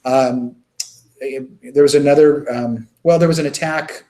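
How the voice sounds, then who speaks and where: A man with a mid-range voice talks as a guest in a conversational interview. He tells his stories earnestly and informally, halting now and then as he searches for the next phrase. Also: mid-range voice, fairly even intonation, mellow, poor audio. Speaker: interview guest, children's music charity worker.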